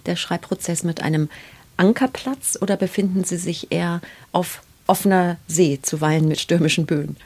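A woman hosting a literary interview, putting prepared questions in articulate, measured, literary phrasing. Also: fairly low pitch, darkish tone. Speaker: interviewer, host.

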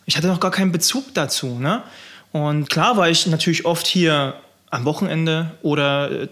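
A man from eastern Germany in his thirties, telling his story in a relaxed, chatty interview with many fillers. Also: a little high. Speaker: manor house owner. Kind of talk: casual interview.

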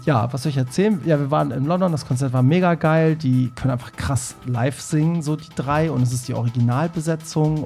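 A man's voice: medium pitch, gravelly, mellow. A German man hosting a podcast in casual chat, a little nasal from a cold, laughing often and filling with ums. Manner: chatty, laughs often, many fillers. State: has a cold, slightly nasal. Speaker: podcast host.

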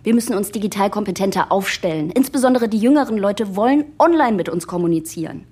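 A woman's voice: medium pitch, expressive, fast, darkish tone, slightly rough. An actress playing an impatient, forthright young woman pushing for change in an office audio drama, pointed and insistent.

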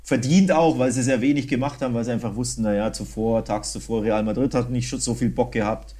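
A man hosting a football podcast, talking casually and colloquially with clear opinions. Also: medium pitch, steady tone, fast, warm tone. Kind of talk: casual sports discussion.

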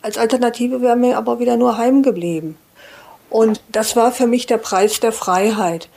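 A woman with a gravelly creak and a mellow, dark tone gives a personal account. Her voice sits slightly high, as she speaks as an interviewee. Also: fairly even intonation, moderate pace.

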